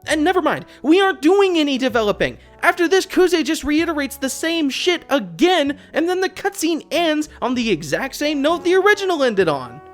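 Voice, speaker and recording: Expressive video essayist, sarcastic game commentary, lively swooping pitch, exasperated delivery, faint music behind. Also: normal speed, hardly any pauses. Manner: sarcastic, exasperated, swearing rant. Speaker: video essayist, channel host.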